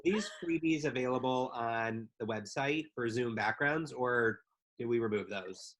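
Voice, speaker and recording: A man's voice: medium pitch, a little slow, fairly bright tone, poor audio. A man co-hosting a podcast over a video call, chatting with dry joking asides. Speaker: podcast co-host. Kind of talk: casual chat.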